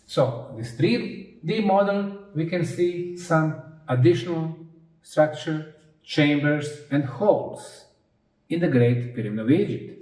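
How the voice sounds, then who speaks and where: A man from Bosnia giving an explanatory lecture as a researcher, speaking in short, deliberate, emphatic phrases. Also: fairly high pitch, expressive, darkish tone. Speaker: researcher presenting his analysis. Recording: roomy echo, distant microphone.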